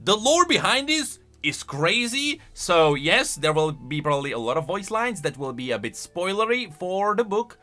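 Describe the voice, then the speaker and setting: A man's voice: high voice, very animated, moderate pace, few pauses, bright, forceful tone. A man giving casual video commentary on game lore as a YouTuber, rambling along and stretching words for emphasis.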